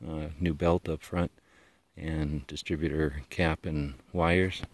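Low-voiced man touring his van. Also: slow, no echo. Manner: relaxed, rambling, frequent uh fillers. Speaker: vlogger. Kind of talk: casual walkaround van tour. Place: outdoors in a mountaintop parking lot beside a van. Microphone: handheld action camera. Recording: outdoor camera audio with clear background noise.

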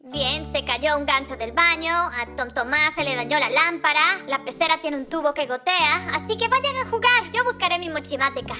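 Confident young cartoon girl, high and piercingly bright. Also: moderate pace, hardly any pauses, soft background music. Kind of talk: dubbed cartoon acting.

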